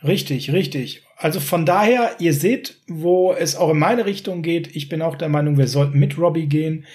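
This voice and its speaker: Chatty German podcast host, slightly high voice. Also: male voice, moderate pace, a little mellow. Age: middle-aged. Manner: chatty and direct, speaks to listeners, tags "ne?". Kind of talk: casual sports podcast discussion.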